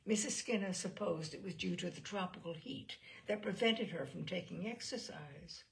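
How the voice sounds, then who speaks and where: A woman reading a literary short story aloud as a storyteller, with expressive, measured phrasing. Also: lowish voice, vocal fry, low-quality recording. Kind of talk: literary audiobook narration.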